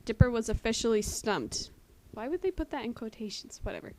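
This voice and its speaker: A woman's voice: fairly high pitch, animated, fairly quick, mellow. A woman casually reading a story aloud at home as an amateur, with joking asides. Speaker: amateur story reader and commentator. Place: friend's house. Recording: faintly noisy amateur home recording.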